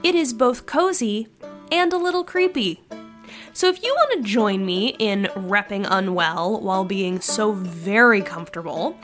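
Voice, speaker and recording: A woman's voice: medium pitch, lively intonation, measured pace, a bit bright. A woman giving a casual podcast merch promo in a chatty, wry manner. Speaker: podcast show member. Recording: music behind.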